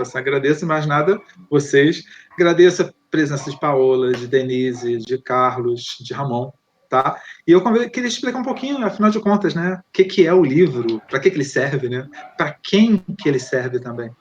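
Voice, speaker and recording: A Brazilian man, an author, speaking to an online audience in a conversational way and often tagging his sentences with "né?". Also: sits high. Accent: Brazilian Portuguese. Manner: conversational, often adds rhetorical "né?". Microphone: video call. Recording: lo-fi online call audio with faint noise.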